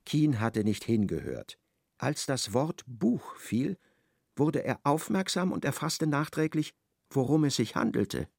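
A male narrator reading a literary radio drama with measured, expressive delivery. His gravelly voice moves lively through its range, and the recording is close and dry. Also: mid-range voice, no room sound.

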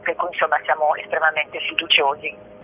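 Female interviewee, reflective and halting, heard through a thin telephone line with faint noise. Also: deep voice, level tone. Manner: halting, reflective, searching for words. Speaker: stage actress, interview guest. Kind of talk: spoken interview. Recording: noisy, tinny phone line.

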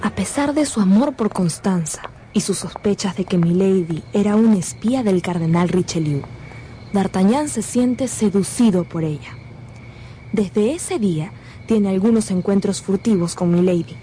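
A woman's voice: medium pitch, normal speed, warm tone. A woman delivering scripted dramatic narration for a radio drama. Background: music.

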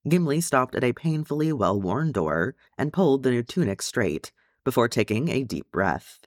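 A man's voice: medium pitch, big pitch swings, normal speed, no room sound, clean studio sound. A man reading a story aloud as narrator, in scripted audiobook style. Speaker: fan fiction narrator.

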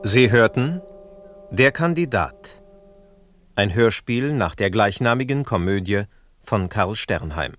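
Slow, low-voiced male radio announcer. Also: expressive, a bit bright, soft background music. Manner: measured, precise. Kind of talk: formal scripted credits announcement.

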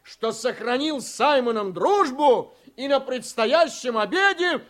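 A combative, self-righteous politician in a radio drama. His voice sits high and swings in lively arcs as he declaims each point emphatically. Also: a little slow, heavily acted. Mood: indignant, proud. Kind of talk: Soviet radio drama acting.

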